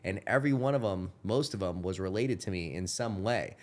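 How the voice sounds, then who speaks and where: A man's voice: deep voice, brisk. A man of thirty hosting a podcast, talking in a rambling way with lots of self-corrections and casual swearing. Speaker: podcast host. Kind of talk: casual chat.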